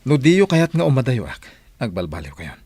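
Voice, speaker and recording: A man's voice: mid-range voice, wildly swooping pitch, moderate pace, darkish tone, husky, full character voice. A man acting a stern, fed-up grown son in a radio drama, lecturing firmly with an Ilocano accent. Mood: scolding. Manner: firm, lecturing. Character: stern, fed-up grown son scolding his gambling mother.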